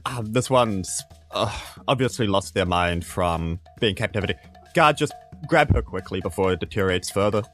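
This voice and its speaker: A man's voice: fairly low pitch, expressive, a bit bright, faint music behind. A man with a New Zealand accent voicing a pompous, officious guard officer who halts as he bluffs in a tabletop game. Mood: brusque. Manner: stiff, officious, halting.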